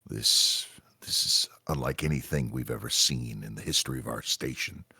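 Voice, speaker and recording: A man playing a grave commanding agent in a sci-fi radio drama, briefing with deliberate, dramatic emphasis and pauses. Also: very deep voice, pushed and bright, slightly rough, no echo, clean studio sound, exaggerated voice. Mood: grave, urgent. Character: grave male commanding agent of a multiverse agency.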